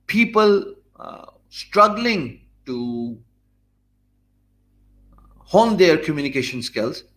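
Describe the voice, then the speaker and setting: A man's voice: on the high side, fairly bright tone, poor audio. Pakistani guy in his 40s talking to webcam at home, earnest, lots of uhs and restarts. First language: not English. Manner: earnest and measured, many "uh" fillers and restarts, gestures with his hands. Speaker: online business coach. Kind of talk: talking-head video lesson. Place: small home office, indoors. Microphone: stand mic close in front, webcam framing.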